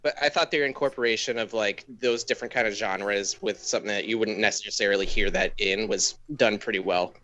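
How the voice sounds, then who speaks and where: A man's voice: on the low side, fairly even intonation, fairly quick, hardly any pauses, pushed and bright. A man co-hosting a podcast, chatting casually as he gives his opinions. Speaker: podcast co-host reviewing music. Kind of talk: casual chat. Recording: slightly dull lo-fi audio.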